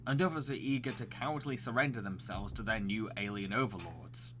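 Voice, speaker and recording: A man's voice: lowish voice, fairly quick. A man narrating a scripted review as the presenter of a recommendation video, with enthusiasm.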